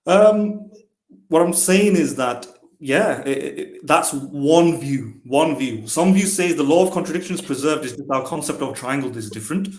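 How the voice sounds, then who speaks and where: A man's voice: fairly high pitch, expressive, moderate pace. A man co-hosting a stream, explaining philosophical points with frequent hesitant restarts. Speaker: co-host. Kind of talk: philosophical discussion. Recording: roomy echo.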